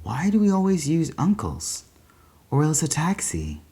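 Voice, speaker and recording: Man voicing an earnest young boy, pitched up. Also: wildly swooping pitch, unhurried, dark tone, exaggerated voice. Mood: earnest, curious. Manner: earnest, questioning. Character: young boy, plaintively questioning his mother.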